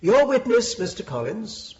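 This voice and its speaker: A man's voice: high voice, sing-song, muffled recording. An actor playing a pompous, sardonic male Victorian judge with a condescending manner in a radio drama. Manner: drawn-out sarcasm, condescending.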